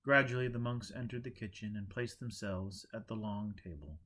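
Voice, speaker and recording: A man's voice: on the low side, normal speed, fairly bright tone. A man reading a story aloud in a scripted narration style, as an audiobook reader.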